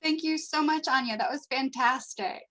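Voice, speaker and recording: A woman's voice: high voice, moderate pace, a bit bright. A woman hosting an online club meeting, handing over between speakers on a video call. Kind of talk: friendly hosting and handovers.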